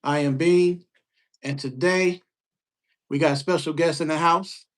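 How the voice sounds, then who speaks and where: Male podcast host, relaxed and slangy, a bit high in pitch. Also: a little slow. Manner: laid-back, slangy, frequent shout-outs. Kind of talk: casual interview chat.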